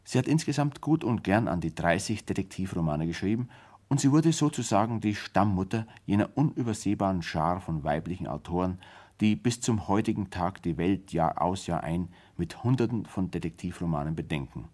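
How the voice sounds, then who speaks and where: A man, a radio play director, introducing a drama in a measured, explanatory, formal 1970s radio style. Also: deep voice, expressive, a little mellow.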